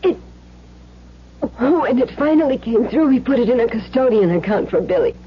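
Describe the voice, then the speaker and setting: Actress as a frightened wife, high voice, old radio. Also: female voice, expressive, close to an everyday voice. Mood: scared, halting. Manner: hesitant, halting, pleading. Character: frightened, cornered wife and mother, timidly pleading. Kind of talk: old-time radio drama acting. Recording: muffled, noisy old radio recording with hum.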